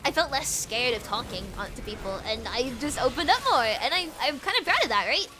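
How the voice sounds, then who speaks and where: Bubbly high-pitched young woman streamer. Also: animated, fairly quick, music in the background. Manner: bubbly, giggly and rambling, repeating words; switches between British and American accents. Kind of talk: casual chat while gaming.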